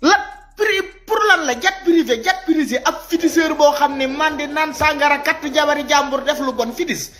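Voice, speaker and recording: A man in his thirties with a Senegalese accent giving impassioned, informal video commentary, animated and repeating his points as he exhorts listeners. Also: extremely high pitch, normal speed, faint music behind. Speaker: social media commentator. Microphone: phone at arm's length.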